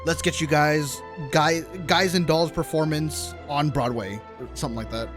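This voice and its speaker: A man's voice: fairly high pitch, steady tone, normal speed, over music. A man livestreaming a game, giving casual, informal commentary to his chat with loose, reactive asides.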